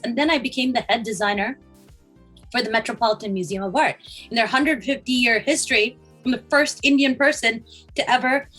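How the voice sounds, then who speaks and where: A woman's voice: a little high, a bit bright. A woman interviewed as a designer, answering at length with fillers and runs of lists. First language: English first language. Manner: listing, thinking aloud with fillers, occasional coughs.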